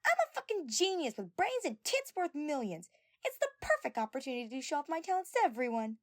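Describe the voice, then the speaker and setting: A woman's voice: sits high, wildly swooping pitch, brisk, no echo, clean studio sound, pitched above the performer's own voice. A woman voicing a brash, boastful, foul-mouthed teenage girl inventor in an audio story, bragging and defensive.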